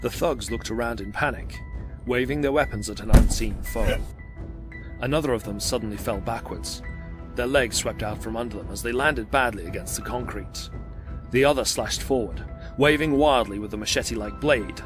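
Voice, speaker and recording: A man's voice: mid-range voice, moderate pace. A man narrating an audio drama in a scripted, dramatic storytelling style. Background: music.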